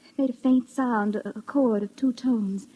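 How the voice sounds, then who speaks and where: A woman playing a fragile, lonely, weary young woman in an old-time radio drama, halting and haunted. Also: a little high, fairly even intonation, warm tone, a little hiss or hum, close to an everyday voice, rough recording. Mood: hushed wonder. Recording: vintage radio drama recording.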